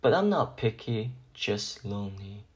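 A man voicing an eager, hopeful homeless puppy in a children's picture book, pleading and expressive. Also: fairly low pitch, a little slow. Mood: forlorn, pleading.

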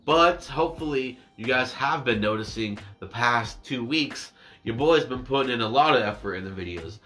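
Young male reaction vlogger, bright and forward voice, chatty and meandering, seated indoors. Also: mid-range voice, expressive, faint music behind. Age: 20s. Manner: rambling, self-deprecating, drawn-out words. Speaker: reaction YouTuber. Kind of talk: casual chat to camera. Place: small indoor room with dark backdrop.

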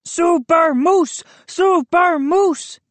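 A woman's voice: very high-pitched, drawn-out words, no echo, pitched above the performer's own voice, full character voice. A woman voicing a big, rowdy, boastful boy who bellows and chants in an audiobook. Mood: boastful, excited. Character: big wild rowdy boy, boastful bully-ish pal. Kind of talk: audiobook character voice.

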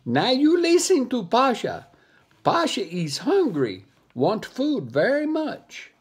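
Storybook villain: man doing a pitched-up, gloating monkey in choppy English, gravelly-husky and slow. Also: wildly swooping pitch, exaggerated voice. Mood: menacing. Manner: broken English, refers to himself by name. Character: sly bossy male monkey captor.

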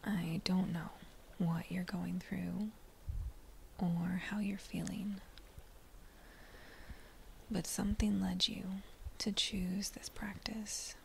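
A woman leading a guided meditation in a gentle, breathy near-whisper, speaking in short unhurried phrases with pauses between them. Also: on the low side, little pitch movement, slight background noise. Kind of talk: slow guided meditation narration.

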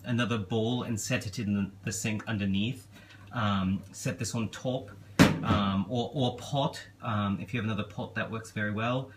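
A young man playing a genteel, affectionate elf king giving a gentle, hesitant, casual cooking demonstration. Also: on the low side, lightly acted. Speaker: cooking show host. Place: small home kitchen, indoors. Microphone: camera a step or two away. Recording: clearly noisy kitchen recording.

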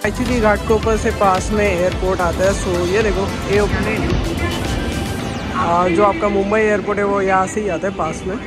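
A man's voice: high-pitched, fairly even intonation, moderate pace. A man, giving casual, informal explanations as a travel vlogger on the move. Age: 20s. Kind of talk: casual vlog commentary mixing Hindi and English. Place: on board trains and in busy stations. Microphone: handheld phone or camera at arm's length. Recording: noisy train and station, music underneath. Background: loud music, crowd and vehicle noise.

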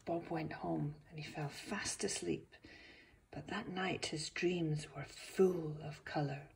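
A woman reading a picture book aloud to young children as its author, expressive, with gentle asides. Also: fairly low pitch, vocal fry, a little hiss or hum.

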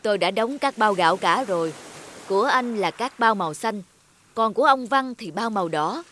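A woman voicing a shrewd, businesslike male rice seller in an animated folk tale. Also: medium pitch, normal speed, a little hiss or hum, close to an everyday voice.

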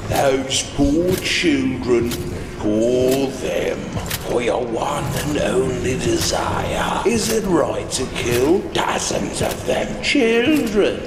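A man's voice: medium pitch, animated, drawn-out words. A man doing taunting, echoing accusing spirits. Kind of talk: fan motion comic dub. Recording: echoey hall effect over loud music.

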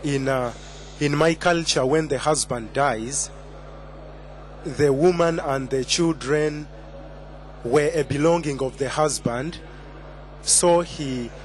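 A man's voice: fairly high pitch, slow, a little hiss or hum. A man in his twenties from Uganda giving a personal testimony at a conference, hesitant, with many fillers and restarts. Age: 20s. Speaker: conference speaker.

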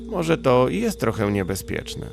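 A man's voice: medium pitch, very animated, a little slow, vocal fry, faint music behind, pitched above the performer's own voice. A man voicing a genial, unflappable troll father in a storybook, with a polite, reassuring manner.

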